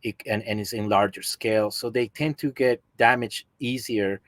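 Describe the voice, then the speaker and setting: A man's voice: on the low side, steady tone, normal speed, no echo. A middle-aged man with a Peruvian accent, chatting casually as a podcast guest about his hobby. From Peru. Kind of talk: casual chat about collecting. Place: basement room at home in a video call.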